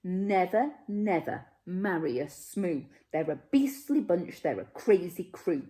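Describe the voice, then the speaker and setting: A woman voicing a stern, angry old alien grandfather in a storybook, scolding with slow emphasis. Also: on the low side, lively intonation, dark tone.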